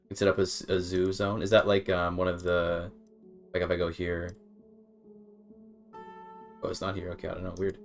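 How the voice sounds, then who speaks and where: Low-voiced man streaming a game. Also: monotone, moderate pace, long pauses, soft background music. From Canada. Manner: rambling, repeats words, thinking aloud. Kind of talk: casual live gameplay commentary.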